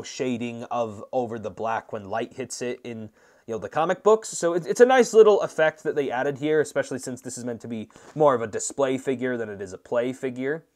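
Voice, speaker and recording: A man's voice: medium pitch, darkish tone. A man giving a casual, conversational action figure review as the channel host, with emphatic swings between points. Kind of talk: casual product review commentary.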